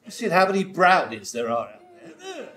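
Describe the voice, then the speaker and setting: A man's voice: high voice, wildly swooping pitch, moderate pace, a bit bright, heavily acted. A man playing a vain, snobbish female ugly sister in a hammy British pantomime style, boastful and exaggerated. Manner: boastful, exaggerated, plays to the audience. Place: live amateur pantomime on a hall stage. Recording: roomy stage recording, noisy ambience.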